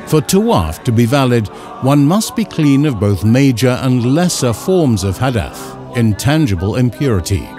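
A man reading scripted instructional narration in a measured, formal, carefully enunciated way. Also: mid-range voice, big pitch swings, soft background music. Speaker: narrator of an educational film.

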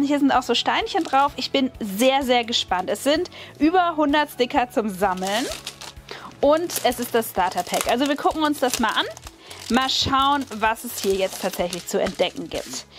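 German woman, craft host, bright higher voice, chatty and eager, soft music behind. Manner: chatty, excited, many fillers. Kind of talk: casual unboxing haul. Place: at a wooden table, hands on camera.

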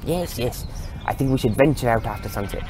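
A man's voice: mid-range voice, a little fast, music in the background, natural voice. A man voicing an eccentric, courteous old time traveller, curious and musing in a genteel manner. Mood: musing, resolute. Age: older. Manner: musing, genteel, with hesitant interjections.

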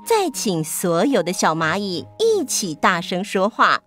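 A woman's voice: medium pitch, huge pitch swings, a little slow, fairly bright tone, soft background music. A woman narrating a scripted story for children.

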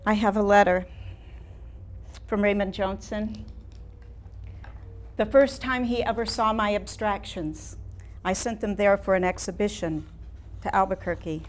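A woman's voice: medium pitch, fairly even intonation, lightly acted. A woman playing a wistful, ailing artist in her late seventies in a theatrical staged reading. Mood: reminiscing. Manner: slow, deliberate, poetic delivery with pauses. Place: room of a house, seated before an audience.

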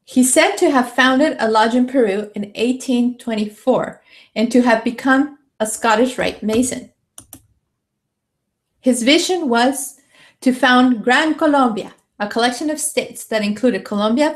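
A woman in her thirties or forties reads a written article aloud as scripted narration into a studio microphone. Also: on the high side. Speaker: reader of an article. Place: indoor room. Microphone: studio microphone with pop filter.

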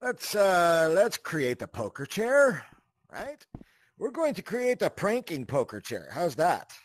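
A man hosting a casual instructional walkthrough in a chatty, animated way, often checking in with the listener. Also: high voice, slow pace. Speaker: tutorial host.

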